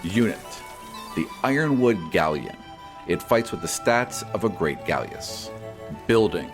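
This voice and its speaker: A man hosting a podcast, reading scripted fantasy lore and answers in a measured storyteller's manner. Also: low voice, lively intonation, over music. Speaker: podcast host and game master. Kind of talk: scripted narration answering listener questions.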